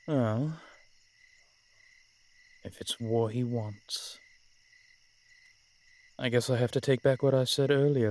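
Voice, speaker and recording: A man playing a centuries-old vampire general in a roleplay audio drama, protective and proud, speaking with courtly, measured phrasing and dramatic pauses. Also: fairly low pitch, slight background noise. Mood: protective, resolute. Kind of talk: roleplay audio drama, addressed intimately to one listener.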